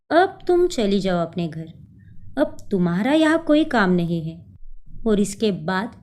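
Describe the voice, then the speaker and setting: A woman's voice: a little high, dark tone. A woman voicing a cold, scheming young woman who mocks and bosses others around, slipping in English words. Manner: taunting, bossy, mixes in English words.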